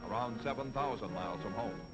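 Casting: American man, 1940s military newsreel narrator, mid-pitched formal announcer delivery, old film soundtrack with loud music behind. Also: quick pace.

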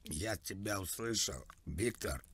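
Low-voiced man with a bright edge. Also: expressive, a little slow, a little hiss or hum. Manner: plaintive, rambling, mock-naive. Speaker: prank caller. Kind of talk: prank phone call posing as an older man.

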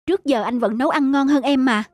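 A woman's voice: sits high, quick pace, a little mellow, lightly acted. Lady playing caring cartoon mom, soothing and encouraging, music behind her. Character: patient, caring wife and mother in a cartoon. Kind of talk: dubbed cartoon dialogue.

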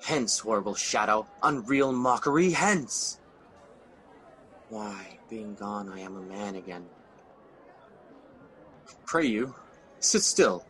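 A man's voice: fairly low pitch, animated, takes it slowly, long pauses, fairly bright tone, some chatter behind, slight background noise. A man playing Macbeth, a fearful, haunted king, in a radio drama with an intense, shaken delivery.

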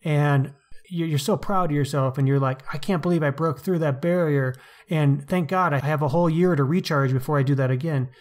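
Chatty man with a slightly high voice. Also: fairly quick, a little mellow. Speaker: podcast co-host. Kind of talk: casual book discussion.

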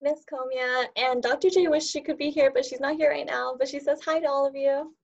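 A young woman with a slightly high voice reads a picture book for children as their teacher. It was recorded on a video call, so the sound is rather dull. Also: steady tone, fairly quick. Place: indoors, on a group video call.

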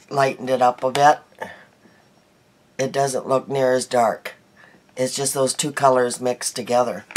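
A middle-aged woman vlogging her daily makeup in a small bedroom, chatty and homey, her voice hoarse from a cold. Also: very deep voice, background noise. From United States. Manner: chatty, homey, laughs easily, trails off. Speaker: beauty vlogger. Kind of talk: casual daily makeup chat to camera.

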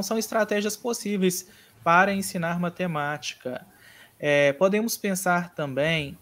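A man teaching an online mini-course in a measured, explanatory way, often pausing on hesitation fillers. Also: fairly high pitch, a bit bright. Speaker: course instructor.

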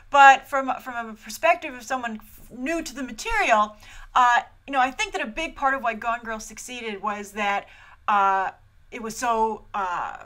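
Animated, bright-voiced woman reviewer. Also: a little high. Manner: animated, chatty, laughs often. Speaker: movie trailer reviewer host. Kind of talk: casual trailer reaction. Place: small indoor room.

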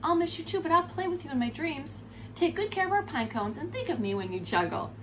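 A sleepy, affectionate marmot girl from a storybook, acted by a woman reader. The voice is fairly high and lilting, with drawn-out drowsy words. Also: very animated, slight background noise. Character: weary, affectionate female marmot friend, drowsy before winter. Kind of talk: children's storybook character voice.

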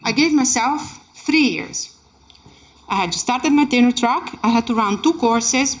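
A woman's voice: fairly high pitch, a little slow, breathy edge. A woman giving an academic keynote talk as personal storytelling, with an emphatic, impassioned delivery. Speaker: keynote speaker, academic researcher. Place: indoor seminar room, speaking at a lectern. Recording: dull, muffled lecture-room recording.

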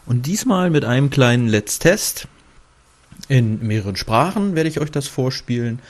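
A man's voice: mid-range voice, lively intonation, normal speed. A man from Germany casually commenting on a game he is playing, rambling and breaking off with fillers as he talks through the menus. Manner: chatty, rambling, self-interrupting with fillers. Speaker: let's play host. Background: game music.

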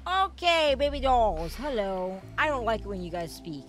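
A woman giving let's play commentary in a rambling, filler-heavy way. Her voice often cracks, and she jumps into loud startled bursts. Game music plays clearly behind her. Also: a little high, sing-song, moderate pace. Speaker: gaming streamer.

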